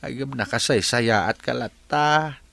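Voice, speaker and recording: Man doing a humble old dad in a radio drama, slow n emotional, ilocano accent, really dry close sound. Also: mid-range voice, creaky, a bit bright, dry recording. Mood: grateful, ashamed. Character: humble, remorseful aging father, poor and emotional. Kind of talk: dramatized Ilocano radio-style story acting.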